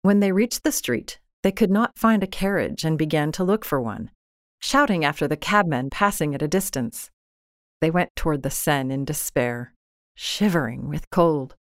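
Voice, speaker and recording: A woman narrating a literary short story in a measured, expressive, scripted style. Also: low-pitched, dry recording, clean studio sound.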